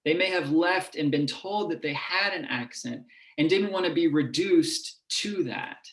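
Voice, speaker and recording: Professor type, man, fairly high voice, steady explanatory lecture, roomy echo. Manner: measured and explanatory, with occasional restarts. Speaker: university linguistics professor giving a public talk. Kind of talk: lecture presentation. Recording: echoey room.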